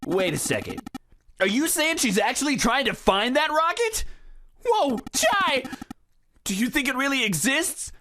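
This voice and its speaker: Woman playing a brash, bright-voiced young student. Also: sits high, very animated, unhurried, slight background noise, full character voice. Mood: excited, teasing. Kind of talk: animated game fan dub acting.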